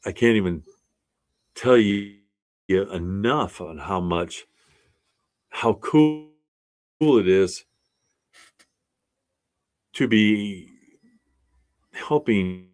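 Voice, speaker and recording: An older man in his sixties with a very low, deep voice talks slowly and unhurriedly to his class. He sounds earnest and plainspoken as an art resilience instructor, encouraging his listeners in a casual way. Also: lively intonation, darkish tone.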